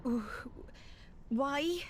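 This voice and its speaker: An actress playing a gentle, sorrowful young woman in a video game cutscene, halting and emotional. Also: high-pitched, a little hiss or hum. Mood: shaken, tentative. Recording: faint music under the voice.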